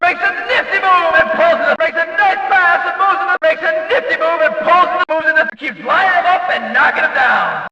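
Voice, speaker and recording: A man playing a brash, jokey, excitable stock-car race announcer in a video game, with folksy, punchy calls. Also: very high-pitched, exaggerated voice. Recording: echoey hall PA, distant, slightly dull.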